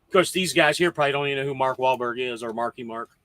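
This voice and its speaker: A man's voice: mid-range voice, very fast, fairly bright tone. A man on a casual call with other truck drivers, ribbing the host with jokes. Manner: joking, ribbing. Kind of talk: casual group chat on a call.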